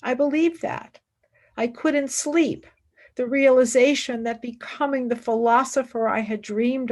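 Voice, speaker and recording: A young wartime nurse and philosopher played by a woman speaks in a fairly high voice. She takes her time, halting and stressing her words with earnest, grieving gravity, as in a serious radio play. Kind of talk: dramatic radio play acting.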